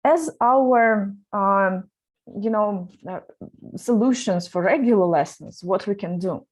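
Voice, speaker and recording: A woman's voice: medium pitch, slow pace, rough recording. A woman running an online seminar for teachers, talking with many fillers and restarts. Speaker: teacher trainer.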